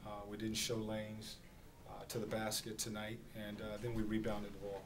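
Low, flat-voiced coach at a podium. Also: male voice. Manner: measured, halting, many fillers. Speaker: basketball head coach. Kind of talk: postgame press conference. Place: small press room at a podium. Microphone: podium microphone on a stand. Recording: distant camera audio, noisy room.

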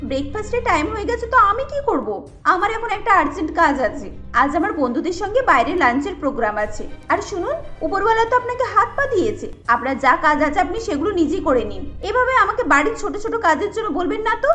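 A snooty young wife in a family cartoon, voiced by a woman. She is very high and swoopy, full of insolent sarcasm, over light music. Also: sing-song, fairly quick, pushed and bright, exaggerated voice. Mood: haughty, defiant. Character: haughty, lazy young daughter-in-law, insolent and mocking.

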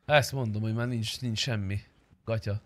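A man's voice: lowish voice, fairly even intonation, no room sound. A man chatting casually and crudely with friends while streaming a roleplay game. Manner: crude, bantering.

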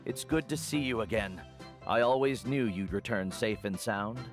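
An actor playing a kindly middle-aged uncle and veteran guide in a video game, measured and earnest. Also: male voice, fairly low pitch, soft background music, natural voice. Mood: welcoming. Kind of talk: video game character acting.

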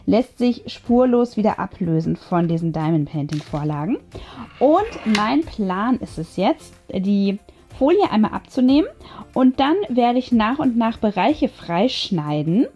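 A woman's voice: mid-range voice, mellow, a little hiss or hum. A woman hosting a craft video, casually narrating each step and thinking aloud as she goes. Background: background music.

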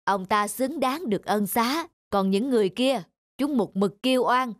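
A woman voicing a stern, fair-minded middle-aged male governor in a cartoon, speaking formally and with measured authority. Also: a little high, expressive, no echo, studio quality, close to an everyday voice. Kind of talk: scripted cartoon dub. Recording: music under the dialogue.

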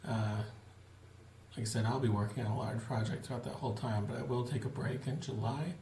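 A man in his forties giving a relaxed, plain-spoken video update to supporters from a small home office, with frequent fillers. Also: medium pitch, brisk, a little hiss or hum. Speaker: missionary giving a family update. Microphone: webcam at desk. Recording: roomy webcam audio with faint ambience.

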